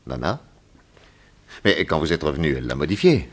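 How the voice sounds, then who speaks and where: An actor playing a shrewd, authoritative private detective with dry irony in a 1960s radio drama. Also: male voice, extremely low pitch, big pitch swings, brisk, heavily acted. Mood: probing.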